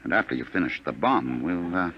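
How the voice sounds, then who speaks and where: An actor playing a smug, menacing government agent from the future, coolly patient and mocking, in a 1950s radio drama. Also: male voice, deep voice, lively intonation, brisk, muffled recording. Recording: noisy 1950s radio recording.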